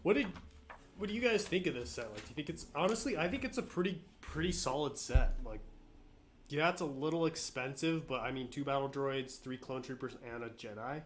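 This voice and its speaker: A man hosting a casual live stream while sick, a bit nasal with frequent throat clearing, greeting viewers by name in a relaxed way. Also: medium pitch, a little hiss or hum.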